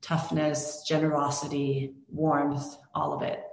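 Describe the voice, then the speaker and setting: A woman's voice: sits low, little pitch movement, slow, gravelly, a little hiss or hum. A Norwegian woman, a film director, answering interview questions thoughtfully with many restarts and fillers. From Norway. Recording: echoey room.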